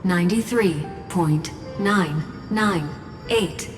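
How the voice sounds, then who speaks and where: An impassive female machine makes system announcements for a game. The delivery is very slow and procedural, on the low side, ringing in a long reverb. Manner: flat, measured, procedural. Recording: long reverb, music behind. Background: music.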